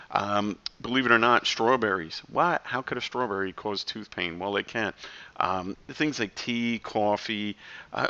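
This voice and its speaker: Bright-voiced chatty man in his forties. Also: medium pitch, lo-fi sound. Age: 40s. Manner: chatty, rambling, self-deprecating asides. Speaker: health vlogger. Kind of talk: casual talk to camera.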